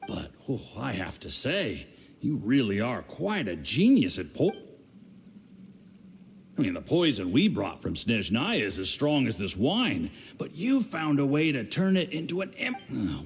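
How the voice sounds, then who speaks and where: A man's voice: mid-range voice, wildly swooping pitch, a little slow, gravelly, husky, heavily acted. An actor playing a drunken, scheming, boastful agent in a video game, rambling and slurring his words. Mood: tipsy, flattering. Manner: slurred, rambling tipsy speech with sighs and trailing words.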